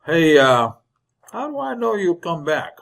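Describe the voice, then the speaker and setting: A man's voice: on the high side, very animated, measured pace, creaky, a bit bright, exaggerated voice. A man playing a greedy, scheming frontier outlaw with rustic, folksy, drawling speech in an old-time radio western. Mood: sly, wary. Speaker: actor in supporting role.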